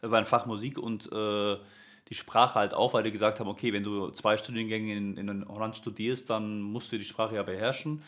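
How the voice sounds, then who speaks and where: A man's voice: on the low side, fairly even intonation, moderate pace, fairly bright tone. A man, a music teacher, chatting casually as an interview guest, rambling with many fillers and restarts.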